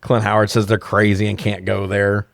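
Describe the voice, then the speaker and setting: A man's voice: fairly low pitch, completely flat delivery, moderate pace, vocal fry, dry recording. A man co-hosting a podcast, giving a casual, comedic, sweary recap of a movie in slangy talk. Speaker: podcast co-host.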